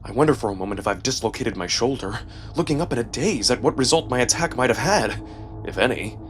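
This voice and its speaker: A man reading a first-person story as dramatic, expressive scripted narration with a sense of suspense. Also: medium pitch, fast talker, faint music behind. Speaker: narrator and performer.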